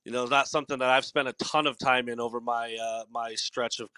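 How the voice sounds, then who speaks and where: A forty-year-old man hosting a sports podcast, his voice bright and forward. He chats loosely and casually, full of fillers and restarts. The sound is dry and close. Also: mid-range voice, steady tone, brisk, clear, resonant tone, no room sound. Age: 40s. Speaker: podcast host.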